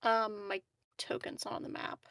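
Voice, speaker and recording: Female tabletop player, crisp bright tone, casual and tentative, no room echo. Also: medium pitch, level tone, normal speed, studio quality. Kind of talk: casual chat during an online game.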